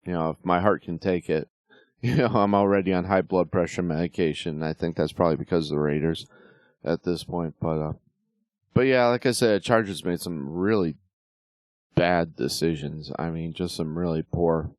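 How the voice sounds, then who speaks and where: Man, middle-aged, very low voice, slightly hoarse, rambling sports chat, dry sound. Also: moderate pace, a little mellow, no room sound. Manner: rambling, many fillers and restarts. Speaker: podcast host. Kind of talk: casual sports talk.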